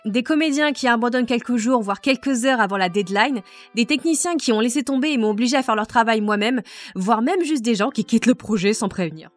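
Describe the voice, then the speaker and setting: A woman's voice: on the high side, fairly quick, soft background music. A woman answering fan questions about a project in a frank, wry manner. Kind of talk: casual Q&A answers.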